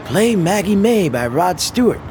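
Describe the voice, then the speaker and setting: A man in his thirties, a musician, telling stories in a rambling, animated way in casual conversation, laughing often. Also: sits high, normal speed, music in the background. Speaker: musician and podcast guest.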